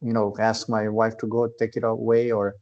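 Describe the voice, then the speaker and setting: A man's voice: fairly low pitch, little pitch movement, moderate pace, darkish tone, highs cut off. A man from Transylvania in Romania, a karate instructor explaining in casual conversation and checking in with "right?". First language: Hungarian. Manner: earnest, explaining at length with repeated "right?".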